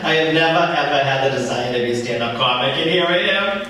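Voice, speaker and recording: A man's voice: fairly high pitch, fast talker, recorded from a distance, a crowd in the background. Wry man doing stand-up in an echoey club. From Boston area. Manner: wry, self-deprecating. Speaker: stand-up comedian. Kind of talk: stand-up set.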